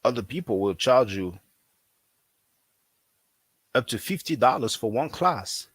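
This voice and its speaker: A man's voice: medium pitch, expressive, unhurried, a bit bright, breathy edge, dry recording. Dry-sounding man, non-native English speaker. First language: not English. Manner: repetitive, conversational, frequent fillers. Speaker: language teacher. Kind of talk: casual promotional talk.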